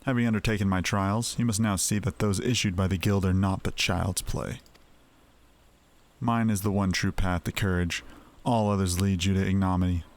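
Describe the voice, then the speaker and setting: An imperious video game figure who hands out trials in grand, old-fashioned phrasing. The voice sits very low, and faint insect chirping carries in the background. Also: male voice, fairly even intonation, normal speed. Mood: lofty. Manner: formal, commanding, archaic phrasing. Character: imperious male quest-giver, testing and manipulative.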